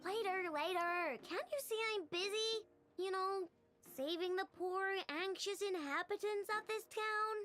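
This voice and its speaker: A woman's voice: very high-pitched, measured pace, a bit bright. A woman voicing a small, perky fairy companion girl who talks about herself in the third person and schemes cheerfully. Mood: teasing. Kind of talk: video game voice acting. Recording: faint game music behind.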